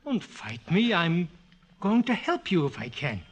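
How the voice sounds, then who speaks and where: High-pitched, fussy older psychoanalyst man. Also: huge pitch swings, measured pace, slight background noise, heavily acted. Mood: coaxing, lecturing. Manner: grumbling, lecturing, talkative. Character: fussy, wry older male psychoanalyst, fatherly and blunt. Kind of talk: 1940s radio acting. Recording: restored vintage radio broadcast, music behind.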